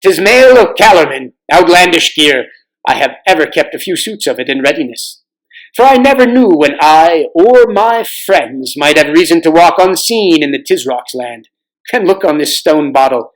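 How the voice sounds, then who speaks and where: A man's voice: fairly high pitch, animated, moderate pace, lightly acted, poor audio. A man voicing a noble, grave storybook king who commands kindly in archaic, formal speech. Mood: confident, commanding.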